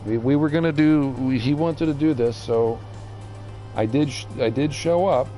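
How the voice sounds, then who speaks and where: A man streaming a video game with casual, rambling commentary, haltingly thinking aloud as he reads the menus. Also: mid-range voice, mellow, faint music behind.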